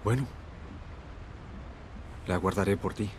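Laid-back rugged brother in a game, a bit low, noisy background. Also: male voice, slow, a little mellow. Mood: calm. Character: rugged middle-aged younger brother, easygoing. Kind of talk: dubbed video game dialogue. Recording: game audio with ambient noise.

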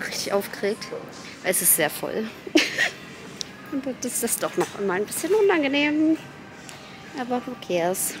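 A young woman vlogging casually and excitedly while browsing plants in a large garden center, sometimes dropping to a whisper. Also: a little high, a little slow, pauses often, over music. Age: young adult. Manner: excitable, chatty, often whispering asides. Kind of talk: casual shop-with-me vlog. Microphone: handheld phone camera.

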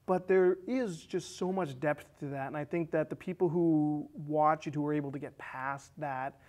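A man's voice: fairly high pitch, steady tone, moderate pace, a little mellow. A man in his thirties discussing film casually as a panelist, on a lapel mic in a small studio. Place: small indoor studio set with curtains.

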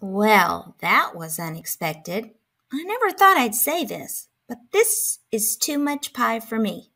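A woman's voice: on the high side, very animated, slow, pushed and bright, heavily acted. A woman playing a grumpy, dramatic math skeptic who complains and exclaims in a children's storybook. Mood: conceding.

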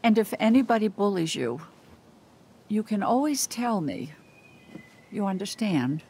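A concerned older woman from next door, gentle and motherly. She speaks slowly at a mid pitch and keeps asking quiet, caring questions. It is a video game performance. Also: big pitch swings, vocal fry, breathy edge, heavily acted.

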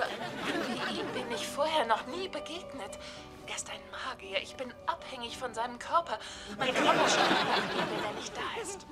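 An actress playing a tormented, lovesick woman confessing in an overwrought way in a dubbed television show. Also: high voice.

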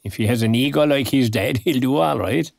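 A male actor playing a friendly, talkative retired man in an audio drama, an older Irish voice, husky and gravelly, with old-fashioned turns of phrase. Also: mid-range voice, brisk, darkish tone, dry recording, clean studio sound. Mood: encouraging, genial. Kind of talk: naturalistic audio drama acting.

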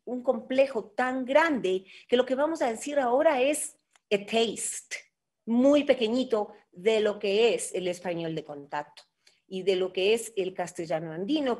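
A woman's voice: on the high side, darkish tone. Middle-aged Ecuadorian woman lecturing on video call. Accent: Ecuadorian Andean Spanish. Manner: measured, explanatory, with frequent fillers and rhetorical tags. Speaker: linguistics lecturer. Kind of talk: online academic lecture. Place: home office with bookshelves. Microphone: webcam on a video call.

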